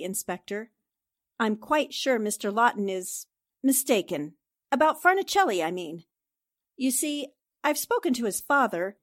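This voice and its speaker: Actress as high-voiced, shrewd radio drama reporter. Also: female voice. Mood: calmly contradicting. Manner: composed, pointed questioning. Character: shrewd, probing female newspaper reporter Anne Rogers. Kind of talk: 1940s radio drama acting.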